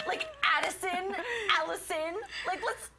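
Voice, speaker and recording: A young woman co-hosting a casual fan recap show, with a naturally raspy voice, animated and emphatic, full of "like". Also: very high voice, slow, over a noisy crowd. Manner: animated, emphatic, says "like" often. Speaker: co-host.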